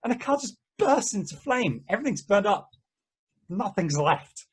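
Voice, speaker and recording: A man reading the part of an excitable, exasperated guy recounting mishaps in casual conversation at an amateur play table read. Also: high voice, normal speed, breathy edge, heavily acted. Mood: agitated.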